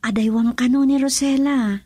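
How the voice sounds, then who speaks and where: Excitable, prying woman, Ilocano accent, higher-pitched, dry studio radio drama. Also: moderate pace, no room sound. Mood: gossiping.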